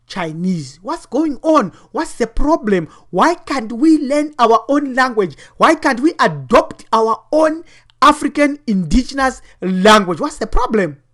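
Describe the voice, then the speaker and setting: A woman giving preachy commentary while walking through web pages, full of rhetorical questions. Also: a little high. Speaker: online teacher and commentator.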